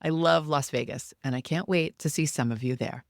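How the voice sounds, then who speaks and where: A woman's voice: low voice, normal speed, no echo, studio quality. A woman speaking as a podcast host, reading announcements and interviewing a guest. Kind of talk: scripted podcast intro and interview.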